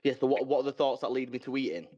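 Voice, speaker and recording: A man calling into a live coaching session, hesitant and chatty with many pauses and fillers. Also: mid-range voice, lively intonation, warm tone. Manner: hesitant, many ums, laughs easily. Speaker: caller. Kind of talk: casual chat on a call. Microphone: remote call connection. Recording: dull, muffled call audio.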